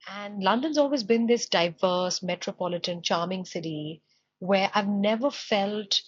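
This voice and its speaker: A woman from India in her late thirties who speaks English as a second language, hosting a casual conversation with warm, affirming replies and lots of fillers. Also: medium pitch, measured pace, no room sound. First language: not English. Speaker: host, company founder. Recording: dull lo-fi sound.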